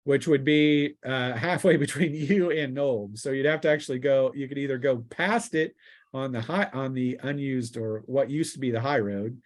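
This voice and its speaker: A man running a tabletop roleplaying game as game master, narrating and explaining rulings casually in a halting, explanatory way. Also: mid-range voice.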